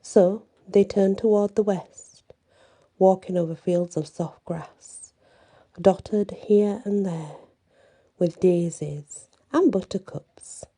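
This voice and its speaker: A woman reading a bedtime story in a soft, breathy ASMR style with an unhurried, halting delivery. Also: medium pitch.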